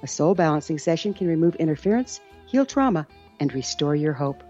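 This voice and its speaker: A woman's voice: sits low, animated, a little fast, warm tone. A woman reading a scripted advertisement in an upbeat, emphatic way. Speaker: advertisement announcer. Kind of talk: scripted ad read. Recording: lo-fi ad with guitar music.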